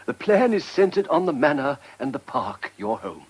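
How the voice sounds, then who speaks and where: A husky, courteous vicar. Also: male voice, mid-range voice, sing-song, normal speed. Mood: grave, concerned. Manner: polite, hesitant, fussy. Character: courteous, hesitant, anxious English village vicar. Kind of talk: period radio drama acting.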